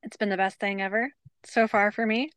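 A woman co-hosting a podcast, chatting casually with many fillers and leading a calm grounding exercise. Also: medium pitch, brisk, clear, resonant tone, dry recording. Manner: bubbly, lots of fillers, leads a calm breathing exercise. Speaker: podcast co-host. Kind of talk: casual chat.

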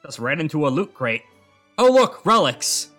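A man's voice: a little high, very animated, moderate pace, bright, forceful tone, soft background music. A man playing a tabletop game, talking easily as he explains his character and its gear. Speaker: player. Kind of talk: casual tabletop game chat.

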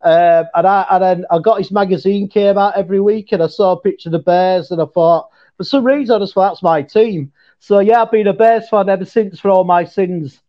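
A man's voice: high-pitched, a little fast, muffled recording. A man from Leeds with a Yorkshire accent, chatting as a guest and hesitating with lots of fillers. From Leeds, northern England. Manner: hesitant, many fillers, says mate a lot. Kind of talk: casual chat.

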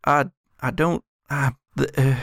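A man voicing a shaken, confused man in a gothic horror game, stammering and halting. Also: mid-range voice, steady tone, gravelly, fairly bright tone, no room sound, clean studio sound. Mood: dazed, freaked out.

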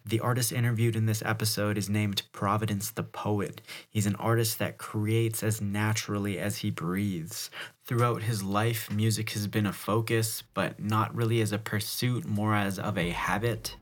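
Friendly podcast host, fairly low voice. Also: male voice, steady tone, normal speed. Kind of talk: interview podcast hosting.

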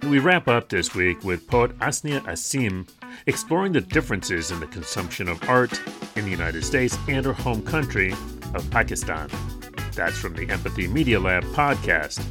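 A man hosting a labor radio show, reading the show's rundown in a brisk announcer delivery and then interviewing guests. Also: fairly low pitch, animated, over music. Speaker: radio and podcast host. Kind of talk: scripted show narration and interviewing.

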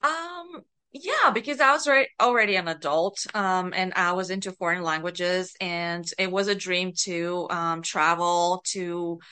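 A middle-aged woman with a Bulgarian accent answers interview questions casually. Her voice is bright and smooth. She speaks slowly and hesitantly, in a giggly manner. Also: on the low side. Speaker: podcast guest, author and writing coach.

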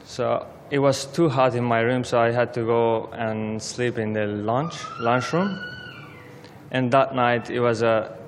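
A young man from Syria, telling his personal story in halting as a guest speaker in a church. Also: medium pitch. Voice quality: halting non-native English, simple phrasing. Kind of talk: personal testimony speech. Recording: long church reverb.

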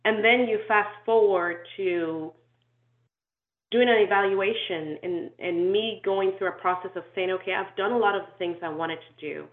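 A woman who grew up in Nigeria, talking through her life story in an interview, thoughtful and measured, with frequent ums and restarts. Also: medium pitch. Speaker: inclusion and diversity consultant, guest. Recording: tinny echoey phone-like call audio.

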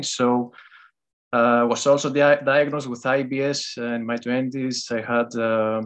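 A man's voice: mid-range voice, a bit bright. A man, an English speaker calling in and talking hesitantly with many fillers. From Greece. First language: Greek. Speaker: caller.

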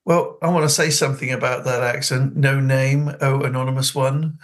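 A man's voice: mid-range voice, fairly even intonation, moderate pace. An older man from New Zealand with an elocution-trained English accent, hosting a radio talk show and reading listener letters, chatty and often laughing at himself. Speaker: radio host.

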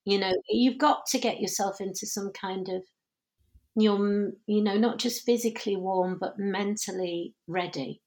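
A woman's voice: mid-range voice, steady tone, a little slow, a little mellow. A woman actor being interviewed, talking in a chatty way, laughing often and correcting herself. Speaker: actor and dancer guest. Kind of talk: conversational interview.

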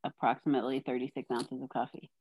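Low-voiced joking woman from Texas. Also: level tone, fast, darkish tone, husky. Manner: joking, self-deprecating storyteller. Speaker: podcast guest. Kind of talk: casual chat.

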